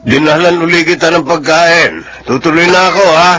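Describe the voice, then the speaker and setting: Casting: worried, gentle Filipino companion, radio drama, somewhat high pitch, strong music bed. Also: male voice, moderate pace. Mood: concerned. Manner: concerned, gently coaxing. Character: caring, worried male friend of sick farmer. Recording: music under the voice.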